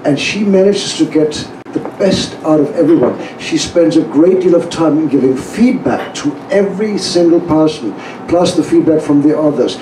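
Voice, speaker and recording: A man from outside the United States with a fairly high voice gives an informal speech of praise. His phrasing is halting and emphatic, picked up from a distance in an echoey room with clear noise. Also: animated. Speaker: workshop student praising the host. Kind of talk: informal testimonial speech to an audience. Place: echoey room at a live event.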